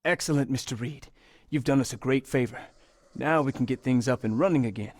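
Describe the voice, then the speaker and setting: A man's voice: medium pitch, fairly quick, no echo, close to an everyday voice. An actor playing an earnest university scientist in a video game, polite and a little hesitant as he explains things. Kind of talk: video game dialogue acting.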